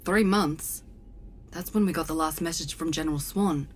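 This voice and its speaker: An actress playing a practical, dry, easily exasperated starship co-captain in a sci-fi comedy audio drama. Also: female voice, low voice, normal speed, slight background noise, close to an everyday voice. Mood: businesslike.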